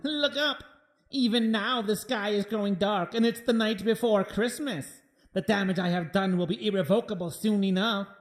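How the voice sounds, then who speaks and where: An actor playing a megalomaniac mad scientist villain in a radio play, theatrical, condescending and given to melodramatic rants. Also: male voice, high voice, moderate pace, soft background music, lightly acted. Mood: gloating, triumphant.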